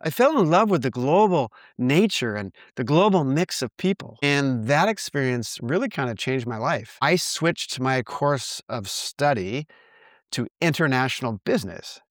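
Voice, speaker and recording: A man in his sixties from the United States hosting his own podcast, reading reflective narration in an earnest, measured way. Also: mid-range voice, big pitch swings, no echo, studio quality. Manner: earnest, measured, reads from a script. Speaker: podcast host.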